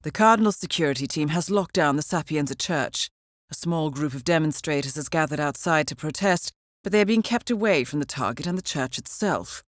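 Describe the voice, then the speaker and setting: An actress playing a cool, dryly witty spy handler in a video game, with a British accent and a measured, polished, understated delivery. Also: female voice, deep voice, expressive, no room sound, studio quality. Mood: briefing, composed. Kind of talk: scripted video game voice acting, mission briefings.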